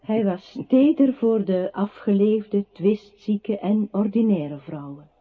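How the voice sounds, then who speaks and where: A solemn, quietly accusing female narrator in a radio play. The voice is husky at a middle pitch, phrasing each line deliberately. The sound is narrow and phone-like. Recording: muffled phone-like old radio recording.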